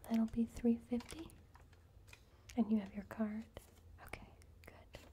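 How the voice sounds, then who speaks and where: Soft-spoken whispery lady playing a cashier, slightly high voice, slow and gentle. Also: level tone, a little hiss or hum. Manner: friendly chatty shop-counter small talk. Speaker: ASMR creator playing a cashier. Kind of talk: ASMR roleplay. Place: indoor room at a wooden table.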